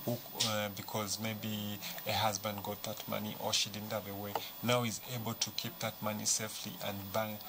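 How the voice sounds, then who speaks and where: Zambian man on a panel, lowish voice, explaining step by step, noisy behind him. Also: monotone, moderate pace, hardly any pauses. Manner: explanatory, poses rhetorical questions. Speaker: panel guest. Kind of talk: conversational explanation. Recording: clear background noise.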